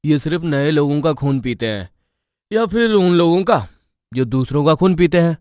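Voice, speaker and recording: Cartoon husband, male actor: young, grumpy, dry wit, slightly high clean tone. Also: animated, normal speed, a little mellow, smooth, no room sound, lightly acted. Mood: irritated.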